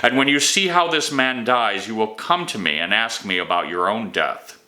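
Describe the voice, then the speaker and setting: A man's voice: lowish voice, expressive, moderate pace, bright, forceful tone. A man voicing a furious, contemptuous wizard in a dramatic storybook reading, scolding and imperious. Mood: menacing decree. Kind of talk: dramatic audiobook dialogue.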